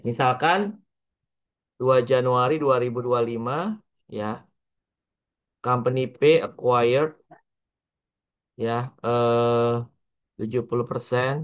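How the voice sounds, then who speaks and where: A man with an Indonesian accent lecturing on a worked example step by step, halting often, mixing in English terms and adding little checking tags. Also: mid-range voice. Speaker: accounting lecturer.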